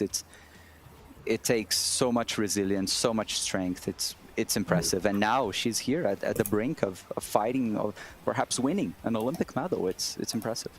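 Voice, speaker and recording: Man, slightly low voice, conversational sports analyst, earnest, admiring, faint background noise. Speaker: guest analyst.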